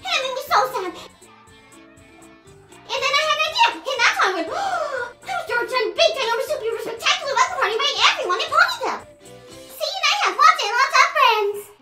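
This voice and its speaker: A woman voicing a hyper, chatty young party pony in a cartoon fandub, gushing breathlessly with loud gasps. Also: very high voice, very animated, a little fast, heavily acted. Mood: bubbly, gushing. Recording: roomy echo under loud music.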